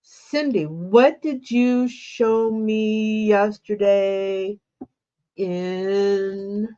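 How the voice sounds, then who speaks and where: Woman host, stuffy with a cold, unhurried friendly chatter, slightly dull lo-fi sound. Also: medium pitch. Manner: chatty, greets viewers by name. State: congested with a cold. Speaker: embroidery livestream host. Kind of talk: casual livestream chat.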